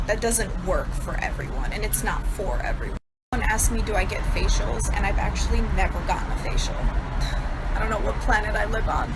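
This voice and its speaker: Brash, sassy young woman. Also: mid-range voice. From New York. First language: Serbo-Croatian. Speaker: beauty influencer. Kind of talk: casual Q and A vlog. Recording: loud road noise.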